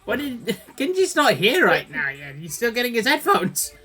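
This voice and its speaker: Husky-voiced woman game master. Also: a little high, huge pitch swings, normal speed, sharp tone, soft background music. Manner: playful, theatrical storytelling. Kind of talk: narrating a tabletop game.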